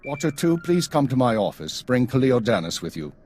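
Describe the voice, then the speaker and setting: An actor playing a stern, weary intelligence chief in a video game, formal, clipped and measured. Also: male voice, mid-range voice, sing-song, a little mellow, faint music behind. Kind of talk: video game cutscene acting.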